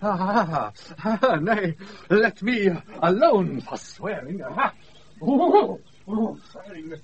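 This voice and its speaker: Foolish timid British knight for radio comedy, high, fluttery, very animated; muffled off-air sound. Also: male voice, slow pace, exaggerated voice. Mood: flustered, eager. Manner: dithering, fluttery exclamations. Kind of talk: classical radio play acting. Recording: dull off-air radio recording.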